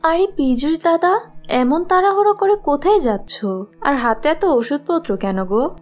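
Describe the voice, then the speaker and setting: A woman voicing a scheming, spiteful young stepmother in a fairy-tale cartoon, sharp-tongued and scornful. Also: high voice, lively intonation, normal speed, soft background music, pitched above the performer's own voice. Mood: coaxing.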